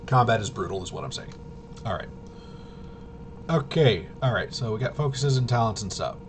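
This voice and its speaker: A man casually explaining game rules unscripted, halting and rambling as he thinks aloud. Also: lively intonation. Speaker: tabletop RPG reviewer and explainer. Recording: clearly noisy with low rumble.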